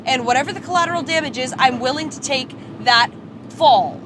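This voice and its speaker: A young American woman with a high voice argues her side, defiant and insistent. She is livestreaming from inside a car, with clear engine and road noise behind her. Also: fairly quick. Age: young adult. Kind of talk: heated argument on a live road-trip stream.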